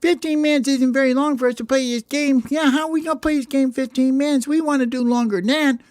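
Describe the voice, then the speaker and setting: A man voicing a bunch of frustrated male sparrow basketball players, nagging and yelling impatiently at their captain. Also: extremely high pitch, steady tone, quick pace, no echo, clean studio sound, voice pushed up. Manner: impatient, nagging, shouting across the court.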